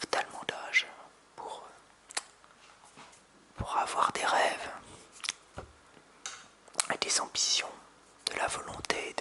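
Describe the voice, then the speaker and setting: A man in his thirties whispering softly into a close binaural microphone for ASMR, with hesitant restarts. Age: 30s. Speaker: ASMR creator. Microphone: close binaural mic with hand sounds.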